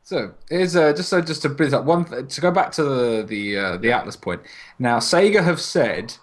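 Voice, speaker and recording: A man's voice: medium pitch, expressive, normal speed. A man chatting casually as a podcast co-host, rambling and exasperated with plenty of swearing. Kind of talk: casual group podcast chat. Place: at home, online voice call.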